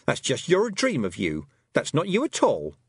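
A man's voice: medium pitch, huge pitch swings, moderate pace, breathy edge, dry recording, clean studio sound, voice pushed up, heavily acted. A man voicing a stubborn young witch girl who speaks bluntly and defiantly.

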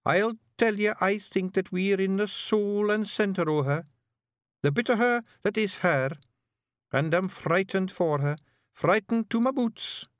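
A man's voice: sits high, unhurried, dry recording, studio quality, voice pushed up. A man voicing a young, nervous male pictsie apprentice bard with a Scottish accent, earnest and anxious.

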